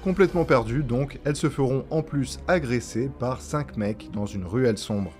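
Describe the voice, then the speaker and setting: A man's voice: mid-range voice, normal speed, a little mellow. A man presenting a video essay in scripted narration, with casual slang and joking asides. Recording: music behind the voice. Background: background music.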